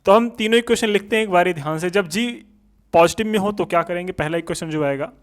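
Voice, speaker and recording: A man's voice: sits high, fast talker. A man lecturing as an exam coaching teacher, repeating points as rhetorical questions and mixing in English technical terms. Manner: switches between Hindi and English technical terms.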